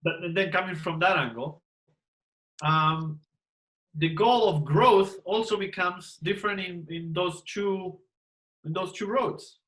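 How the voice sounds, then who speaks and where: Guy dentist giving advice, slightly high voice, lots of "do you need that?" type questions, emphatic. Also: slow pace, fairly bright tone, low-quality recording. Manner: emphatic, rhetorical questions, repeats words. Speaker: dentist and course teacher. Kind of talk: advice on a panel discussion.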